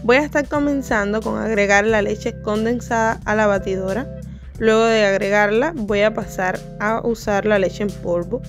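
A young adult woman narrating her home decor vlog in a chatty, relaxed way. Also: medium pitch, normal speed. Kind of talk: casual voice-over vlog narration. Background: soft background music.

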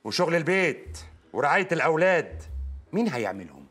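A man's voice: fairly high pitch, big pitch swings, fast talker, a bit bright, husky, soft background music, full character voice. A man playing a grumbling Egyptian husband. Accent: Egyptian Arabic. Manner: complaining. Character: grumbling old-fashioned husband. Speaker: Ali, the husband. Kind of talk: comedy film acting.

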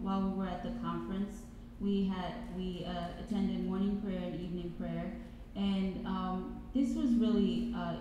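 A woman's voice: lowish voice, dark tone, a little hiss or hum. A young woman whose first language is Swahili, speaking hesitantly with many fillers on a student panel into a handheld mic in a large hall. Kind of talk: panel presentation. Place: large reverberant college hall. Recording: echoey hall, music behind.

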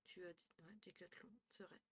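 A young woman streaming from a small room at home, talking into her headset. She is tired and chatty, thinks out loud, swears lightly and often trails off into low murmurs. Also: fairly even intonation, moderate pace, husky. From northern France. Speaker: Twitch streamer. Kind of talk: casual live-stream chat with viewers.

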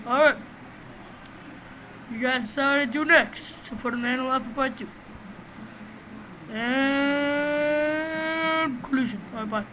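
A man with a small, high voice giving rambling, profane, excitable commentary while playing a game, recorded on a webcam microphone. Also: unhurried, long pauses. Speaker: gamer doing a let's play. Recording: noisy home recording.

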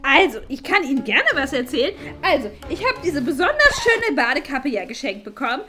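A woman playing a haughty, scolding elderly teacher in a comedy sketch, pompous, shrill and indignant. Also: very animated, fairly quick, bright, forceful tone, full character voice. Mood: smug. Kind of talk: improvised comedy sketch acting. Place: small meeting room.

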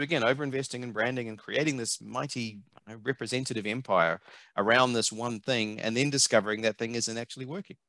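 A middle-aged man with a New Zealand accent, a marketing consultant speaking as an interview guest over a headset on a video call, animated and emphatic, often repeating words as he thinks. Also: mid-range voice, no echo. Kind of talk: conversational interview. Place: home office, video call. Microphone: headset mic.

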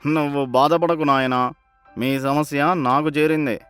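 A man's voice: fairly high pitch, fairly even intonation, measured pace, a bit bright, clean tone, no echo, faint music behind. A man voicing a village temple priest relaying a divine message in a moral story, solemn and reassuring. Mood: benevolent. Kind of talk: animated moral story character acting.

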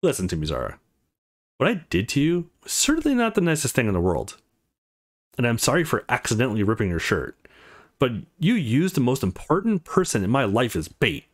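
An intense young man from a visual novel, voiced by a man reader. He is protective and speaks earnestly and forcefully, with big swings in his mid-range voice. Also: medium pitch, wildly swooping pitch, brisk. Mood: stern, threatening.

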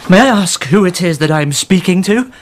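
An actor playing a grieving, wary gentleman in a radio drama. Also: high-pitched, expressive, a little fast. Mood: bitter grief. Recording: music behind.

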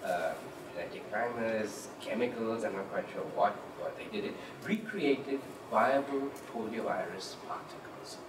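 Author guy telling stories on a panel, animated, far off in a big echoey room. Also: lowish voice, a little slow. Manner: animated, quotes people vividly. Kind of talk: panel discussion, storytelling. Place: large lecture hall with audience. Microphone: handheld mic. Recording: roomy hall, distant mic, room noise.

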